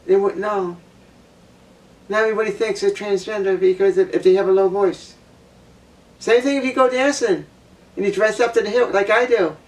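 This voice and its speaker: A woman with a low voice giving a blunt opinion monologue, repeating her points for emphasis. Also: moderate pace, pauses often, a little mellow, distant mic, low-quality recording. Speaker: vlogger.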